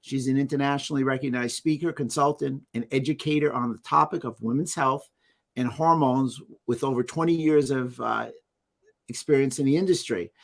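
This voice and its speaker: A man's voice: medium pitch, normal speed, gravelly. Upbeat male podcast host. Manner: upbeat, reads guest bio with small asides. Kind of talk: interview introduction and questions.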